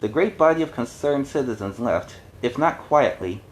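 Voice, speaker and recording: A man's voice: medium pitch, lively intonation, normal speed, background noise. Male storyteller with conversational asides. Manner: conversational, explanatory. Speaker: storyteller, host. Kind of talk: storybook reading with casual asides.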